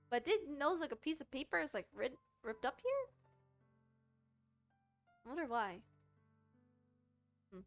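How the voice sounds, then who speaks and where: High-voiced woman streamer reading a visual novel. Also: no room sound. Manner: halting, often repeats and rereads phrases. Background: soft music.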